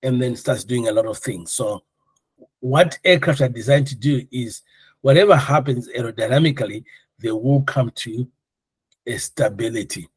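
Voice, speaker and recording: A man's voice: medium pitch, moderate pace, breathy edge, dry recording. A man instructing a class in an informal, conversational and jokey way, asking questions and explaining step by step. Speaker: air traffic control course instructor.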